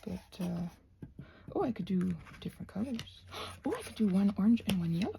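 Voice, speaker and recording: A woman chatting in a rambling ASMR craft-video style, thinking aloud in a scattered way, with a breathy voice that often slips into a whisper. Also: mid-range voice, animated, moderate pace, warm tone, slight background noise. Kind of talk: rambling ASMR craft chat while painting.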